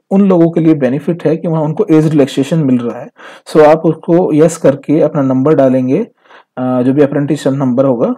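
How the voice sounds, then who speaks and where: A man's voice: a little high, dark tone, slightly rough. A man with an Indian accent presenting an informal step-by-step tutorial in an explanatory, instructional way. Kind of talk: informal step-by-step screen tutorial, mixing in English phrases.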